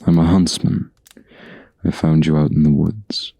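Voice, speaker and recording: Man acting a deep, gravelly, gentle huntsman. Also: fairly even intonation, no echo, full character voice. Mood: calm, reassuring. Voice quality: low, gravelly, breathy. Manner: slow, soothing, old-fashioned storybook phrasing. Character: gentle, protective huntsman of a dark fantasy forest. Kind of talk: ASMR roleplay, soft-spoken and intimate.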